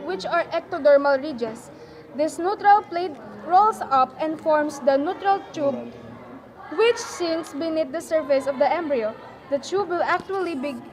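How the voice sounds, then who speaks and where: A woman playing a nurse who recites science facts in a scripted puppet show. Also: high-pitched.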